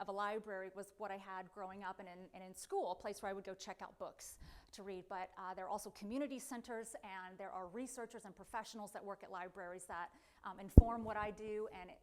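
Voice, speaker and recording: A middle-aged woman with a booming voice addresses a library audience through a microphone. Her delivery is chatty and self-deprecating. She often backtracks with fillers and restarts as she lays out her talk. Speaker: guest speaker, independent researcher. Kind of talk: public lecture. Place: library event room. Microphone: handheld or podium microphone.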